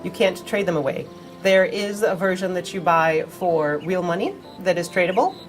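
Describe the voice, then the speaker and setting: A woman's voice: fairly low pitch, normal speed, faint music behind. A woman with a cold, explaining game features casually on a livestream with many fillers. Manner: plain, informative, with fillers. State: has a cold. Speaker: community manager on a game stream.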